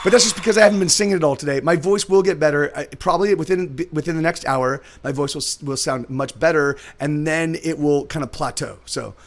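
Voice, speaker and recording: A man streaming live as a musician, chatting casually and rambling with a hoarse, worn voice. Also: fairly high pitch, a little fast, hardly any pauses. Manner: rambling, chatty, laughs often.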